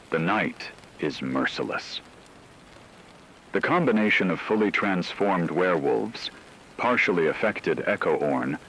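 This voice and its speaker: Slow, deep-voiced male radio announcer, ominous. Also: fairly bright tone, slight background noise, low-quality recording. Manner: solemn, ominous, measured. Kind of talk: scripted dramatic emergency radio narration.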